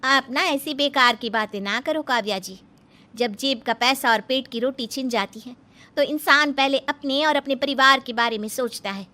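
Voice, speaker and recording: A woman's voice: high voice, normal speed, sharp tone, pitched above the performer's own voice. A woman voicing a plucky young village daughter-in-law in a cartoon story, earnest and rustic in manner. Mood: determined, reassuring. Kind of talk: Hindi cartoon story acting.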